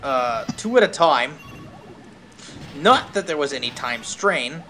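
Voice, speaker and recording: A man with a fairly high, lively voice reads a story aloud as a streamer. He keeps breaking off for wry, casual remarks, and there is faint noise behind him. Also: slow. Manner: wry, self-interrupting. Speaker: streamer, host. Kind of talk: reading fan fiction aloud with casual asides.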